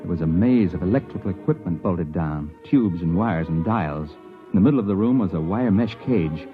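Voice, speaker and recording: An actor playing a wary investigator in a 1950s radio drama, in a hard-boiled, uneasy manner. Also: male voice, sits low, lively intonation, normal speed. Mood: tense narration. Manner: hard-boiled storytelling, uneasy protests when speaking. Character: wary, rattled male investigator narrating his own ordeal. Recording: muffled 1950s radio recording with music.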